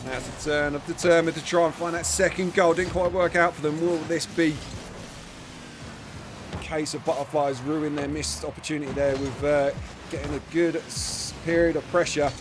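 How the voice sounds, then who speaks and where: A man giving chatty live play-by-play commentary on a match, his voice starting to go hoarse. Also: fairly high pitch, moderate pace, background noise. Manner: chatty, self-deprecating asides, bursts of excitement on big plays. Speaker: esports commentator.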